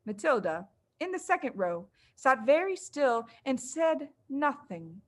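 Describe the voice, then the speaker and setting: A woman reading a children's storybook aloud as dramatic narration, with deliberate, suspenseful phrasing. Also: sits high, very animated. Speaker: audiobook reader.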